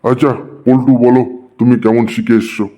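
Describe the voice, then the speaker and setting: A man's voice: mid-range voice, little pitch movement, fairly quick, mellow, lightly acted. An actor playing an exasperated male schoolteacher in a comedy cartoon, bent on catching out his pupils. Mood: stern questioning.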